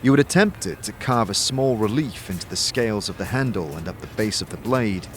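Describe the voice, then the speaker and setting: Man as lively, low-pitched fantasy narrator. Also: a little fast, lightly acted. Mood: storytelling. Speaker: game-master narrator and player character. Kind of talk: dramatic scripted narration. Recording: faint background noise.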